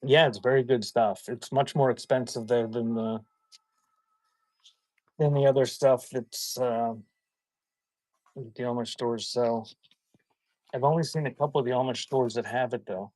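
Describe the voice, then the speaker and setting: A man chatting casually in a folksy, halting way as he hosts a live sale and shows items. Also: medium pitch, steady tone, darkish tone, no room sound. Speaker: live auction seller hosting a stream. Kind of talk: casual rambling chat while showing items for sale.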